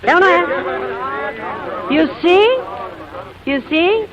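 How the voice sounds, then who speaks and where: An actress playing a brash, bossy maid in 1940s comedy style, wisecracking in exclamatory broken English. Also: female voice, very high-pitched, lively intonation, slow pace, crowd noise, exaggerated voice. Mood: bossy, excited. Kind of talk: 1940s musical comedy acting. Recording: dull old recording, faint noise.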